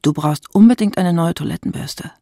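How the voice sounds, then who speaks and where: An actor reading out forthright, apologetic household notes as part of an audio drama. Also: male voice, fairly high pitch, normal speed, creaky, mellow, dry recording, studio quality. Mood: matter-of-fact.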